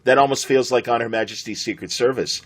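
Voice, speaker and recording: A man's voice: mid-range voice, fast talker, a bit bright. A middle-aged man with an American accent chatting casually as an interviewer, enthusiastic and given to rambling reminiscence. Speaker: podcast host interviewer.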